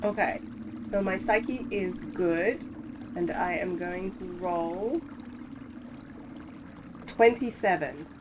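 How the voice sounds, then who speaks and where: A woman's voice: on the low side, slow pace, pauses often. A woman talking casually as a player in a tabletop game. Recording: clear steady background noise.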